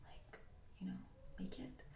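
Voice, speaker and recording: Hushed, whispery grumpy HR woman, acted by a woman. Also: a little slow, echoey room, distant mic, natural voice. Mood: grumbling, gossipy. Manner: deadpan, hesitant, offhand, grumbling. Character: grumpy, blunt female HR employee doing new-hire paperwork. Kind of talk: soft-spoken ASMR roleplay with whispering.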